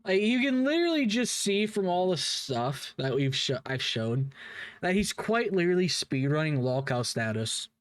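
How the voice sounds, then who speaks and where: A man's voice: on the high side, very animated, moderate pace, clean tone, no echo, studio quality. A man hosting drama commentary in a blunt, casual, profane way.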